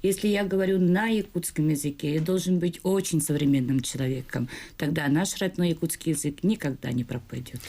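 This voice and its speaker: A woman's voice: low-pitched, a little fast, few pauses, dark tone. A woman guest in a studio interview, speaking in firm, declarative statements.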